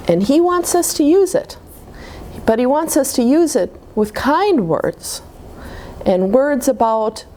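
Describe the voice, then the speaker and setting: A woman reading picture books aloud to young children in an expressive, explaining manner. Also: fairly high pitch, a little hiss or hum. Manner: animated, simple explanations to children. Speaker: children's storybook reader at a church.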